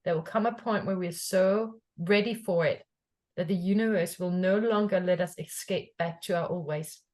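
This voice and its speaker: A woman's voice: lowish voice, normal speed, darkish tone. Reflective woman from Denmark. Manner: reflective, repeats "yeah?" to check in. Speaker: podcast host. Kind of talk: casual conversation.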